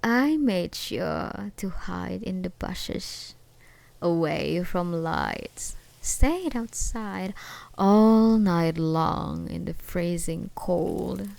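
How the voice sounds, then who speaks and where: A woman playing an obsessed, unhinged ex-girlfriend in an ASMR roleplay, taunting and possessive in a sweetly menacing, giggly way with drawn-out words. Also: fairly low pitch, expressive, gravelly, darkish tone, full character voice. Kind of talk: ASMR roleplay acting, one side of a conversation.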